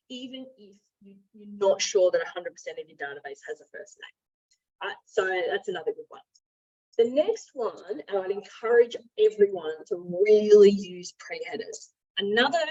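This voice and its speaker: Husky-voiced woman leading a training demo. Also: mid-range voice, darkish tone, dull audio. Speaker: software trainer, marketing director. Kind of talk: informal instructional training demo.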